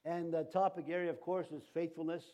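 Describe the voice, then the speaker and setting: Male pastor, Cree and Ojibwe first languages, rambling, fairly high, mellow tone. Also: level tone, fairly quick. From Canada. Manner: rambling with many fillers and restarts. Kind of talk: sermon. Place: church.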